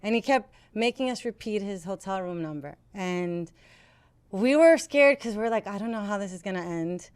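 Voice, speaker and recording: A woman's voice: medium pitch, lively intonation, moderate pace, a little hiss or hum. A woman who works in radio, talking casually as a guest on a podcast. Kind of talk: casual interview talk.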